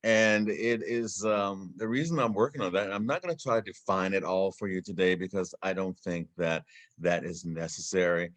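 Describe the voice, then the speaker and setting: A man with a very low voice speaks as a guest in a live online talk. He has a wry, self-deprecating way of telling things. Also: normal speed.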